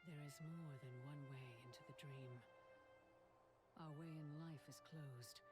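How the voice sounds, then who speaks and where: Low, grave dragon leader. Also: female voice, fairly even intonation. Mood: grave, determined. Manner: measured, solemn. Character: grave, resolute female dragon leader, sorrowful but steadfast. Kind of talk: fantasy game voice acting. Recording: music behind the voice.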